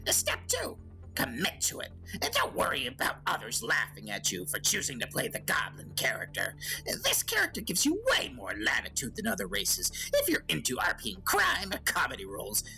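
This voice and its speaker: Woman voicing a bright, swooping goblin TV host. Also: very deep voice, wildly swooping pitch, normal speed, gravelly, faint music behind, exaggerated voice, rough recording. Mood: sly coaching. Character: slick, crude, excitable male goblin TV host. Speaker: host of a goblin advice show. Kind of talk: comedic talk-show hosting in character.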